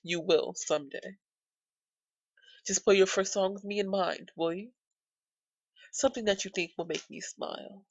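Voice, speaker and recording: A woman voicing a gentle, kind young nobleman in a visual novel, speaking softly in measured, formal phrases. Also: medium pitch, steady tone, creaky, dry recording, muffled recording. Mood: gentle, encouraging.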